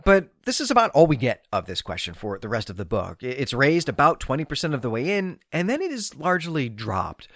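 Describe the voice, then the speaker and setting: A man's voice: mid-range voice, wildly swooping pitch, a little fast, hardly any pauses, a bit bright, dry recording, studio quality. A man hosting a podcast, giving literary commentary in an emphatic, enthusiastic way with conversational asides. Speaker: podcast host. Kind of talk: literary review commentary, semi-scripted.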